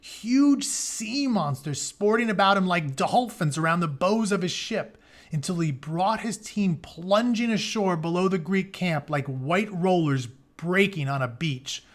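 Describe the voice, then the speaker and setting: A man's voice: high-pitched, expressive, unhurried. A man reading an epic story aloud as a teacher, dramatic in the text and breaking into casual, informal explanations. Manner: dramatic reading broken by plain informal paraphrase. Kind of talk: read-aloud of an epic retelling with casual asides.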